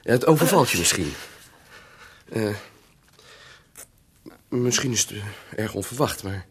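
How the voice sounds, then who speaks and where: A smitten young gentleman in a radio drama, awkward and earnest. His lowish voice is very breathy and rough, swinging in pitch as he stammers. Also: lively intonation, heavily acted. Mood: nervous, earnest. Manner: stammering, halting. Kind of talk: Dutch radio drama acting.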